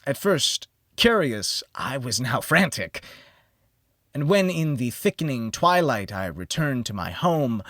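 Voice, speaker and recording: Guy doing dramatic gothic horror narration, slow and brooding, big swings in pitch, really dry recording. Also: very animated, a bit bright, no room sound. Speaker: audiobook narrator.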